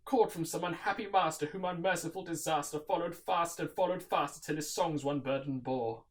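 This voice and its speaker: A man playing a grieving, haunted gentleman in a gothic poem recital, speaking in a loud, fervent, declamatory way. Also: on the high side, moderate pace, distant mic, voice pushed up, close to an everyday voice. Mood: brooding.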